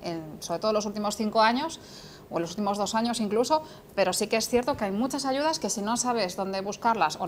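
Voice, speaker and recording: A young woman from Galicia, a startup co-founder, talking in a TV studio debate in a lively, chatty way with many restarts. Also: mid-range voice, slight background noise. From Vigo, Galicia. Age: young adult. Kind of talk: casual debate talk.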